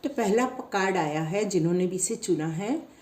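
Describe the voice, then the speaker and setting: Woman tarot reader, throat a bit sore, mellow voice, echoey room, gently encouraging. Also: medium pitch, expressive, moderate pace. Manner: gentle, encouraging, repetitive guidance. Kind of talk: pick-a-card tarot reading, instructional.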